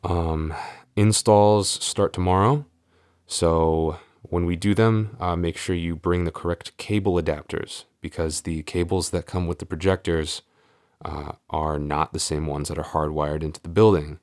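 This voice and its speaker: A man playing a shy, easily flustered tsundere boyfriend and office coworker in an intimate audio roleplay, with a halting, stammering delivery. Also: deep voice, fairly even intonation, darkish tone, dry recording, clean studio sound. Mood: businesslike, hesitant.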